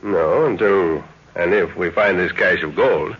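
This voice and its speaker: An actor playing a shrewd, genial explorer and father in a 1930s radio drama, speaking with measured authority. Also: sits low, a little hiss or hum. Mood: cautious, shrewd. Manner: measured, hesitant pauses, authoritative. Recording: muffled old 1930s radio recording.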